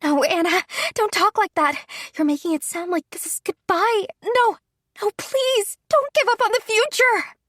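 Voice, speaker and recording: Grieving young noble commander, high and tearful, woman narrator voicing her, dry close sound. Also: fairly even intonation, moderate pace, no room sound. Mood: pleading.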